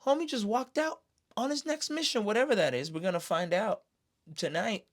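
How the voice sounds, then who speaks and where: Expressive, slangy guy vlogging. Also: sits high, moderate pace. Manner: slangy, expressive, with exclamations and sound effects. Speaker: streamer reviewing an animated series. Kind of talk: casual excited fan commentary.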